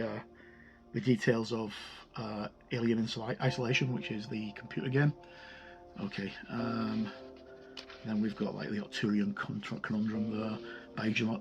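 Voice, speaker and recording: A man giving a casual, unscripted review of a tabletop game book, haltingly thinking aloud and correcting himself as he goes. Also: medium pitch.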